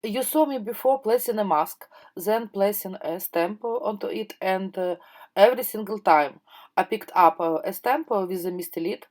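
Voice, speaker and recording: A woman's voice that is hoarse while she gets over a cold, with a pitch in the middle range. She talks through each step in a steady, patient way. Her tutorial voice-over stops often on short "uh" pauses. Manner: calm, step-by-step explaining with frequent "uh" pauses. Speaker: crafting tutorial host.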